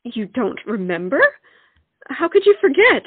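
A woman acting an earnest young sweetheart in a stage comedy, insistent and romantic. Her high, very animated voice comes through thin, phone-like sound. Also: a little slow, husky, dry recording, exaggerated voice. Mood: exasperated. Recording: tinny, narrow-band sound.

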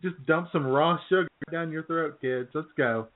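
A man's voice: fairly high pitch, moderate pace, phone-quality sound. A man co-hosting a radio show in casual comedic banter, with a dry, joking manner.

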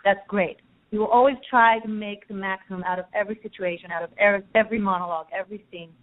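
A woman's voice: mid-range voice, steady tone, fast talker. A young Israeli-born woman, an acting student, calling in to a radio show and talking earnestly and upbeat about her classes. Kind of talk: casual phone interview. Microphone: telephone. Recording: tinny phone line.

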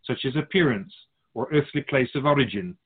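An actor playing an otherworldly keeper of souls in a radio drama, patiently teaching humans in a measured, all-knowing way. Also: male voice, medium pitch, husky, lightly acted. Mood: earnest, instructive. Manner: didactic, measured explaining, speaks of humans as outsider. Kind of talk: scripted radio drama dialogue. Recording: dull phone-like narrow sound.

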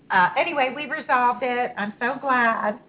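Chatty lady hosting a call-in show, bit high voice, sounds like over the phone. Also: a little slow, phone-quality sound. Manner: chatty, stop-start, laughs easily. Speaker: radio show host. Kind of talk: call-in radio chat.

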